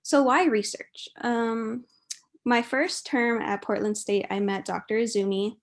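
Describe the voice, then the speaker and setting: A woman's voice: a little high, steady tone, measured pace. A young adult woman from Hawaii, giving an informal, chatty and giggly speech as a guest speaker. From Kauai, Hawaii.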